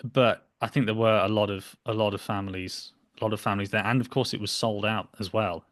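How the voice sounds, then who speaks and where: A man's voice: on the low side, fairly even intonation, brisk, a bit bright. A young man hosting a podcast in casual interview chat, with a hesitant, rambling delivery. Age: young adult. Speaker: podcast host. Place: small home room, indoors. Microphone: computer mic on a video call.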